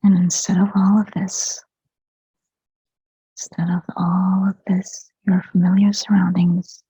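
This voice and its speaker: A woman leading a guided meditation in a soft, breathy whisper, speaking gently and soothingly in short phrases with long pauses between them. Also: lowish voice, little pitch movement, no room sound. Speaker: hypnotherapist guiding a meditation. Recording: dull, muffled lo-fi sound.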